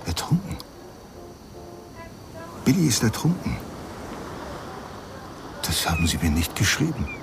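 An actor playing a deferential but suspicious man who questions slowly and deliberately in a radio play. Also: fairly low pitch, huge pitch swings, gravelly, music in the background, full character voice. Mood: probing. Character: deferential but suspicious male acquaintance, probing.

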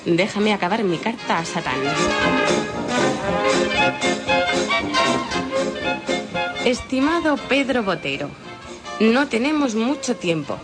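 A woman's voice: fairly high pitch, very animated, normal speed. An actress playing a defiant young woman in a radio play, speaking. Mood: solemn, wry. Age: young adult. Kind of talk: Spanish radio theatre acting. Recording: music behind the voice.

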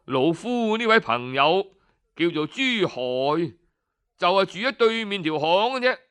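A man's voice: sits high, very animated, unhurried, a bit bright, smooth, full character voice. Man voicing a proud old Cantonese scholar. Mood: self-assured. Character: proud, self-assured elderly male scholar.

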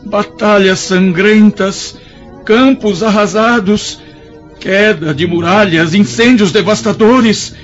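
A man's voice: high voice, takes it slowly. A male actor with a Brazilian accent playing a proud, anguished condemned resistance leader, lamenting theatrically in a radio drama. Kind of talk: radio drama acting in a historical serial. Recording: dull lo-fi radio drama, faint music.

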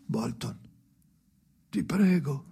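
A male actor playing an exasperated, anguished man in a radio drama, pleading in loud, high-strung outbursts. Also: animated, very slow, gravelly, slight background noise, exaggerated voice. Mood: angry pleading. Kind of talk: dramatic stage-style acting in a radio play.

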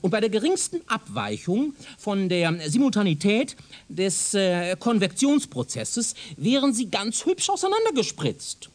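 A self-assured professor from the future in a radio play. His husky voice swings dramatically up and down as he lectures. The manner is pedantic and condescending, full of rhetorical emphasis. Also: sits high, a little mellow, full character voice. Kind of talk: German radio drama acting, lecturing dialogue.

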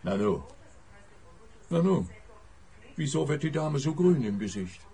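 An actor playing a bumbling, self-pleased male policeman in a comic radio play, fussy and pompous, with a husky voice. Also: a little high, big pitch swings, unhurried, dark tone, exaggerated voice. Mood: offended.

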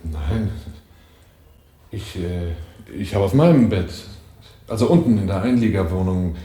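An actor playing a defensive, shaken man under police questioning in a 1980s radio drama, halting and then stiffly precise. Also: low voice, lively intonation, dark tone. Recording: roomy sound with clear hiss.

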